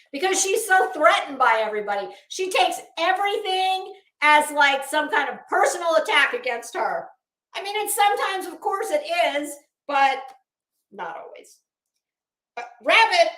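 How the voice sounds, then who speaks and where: A woman hosting a casual livestream rant, blunt, mocking and profane, with halting, emphatic repetition as she chats to viewers. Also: high-pitched, fairly bright tone. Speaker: livestream host. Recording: roomy, echoey sound.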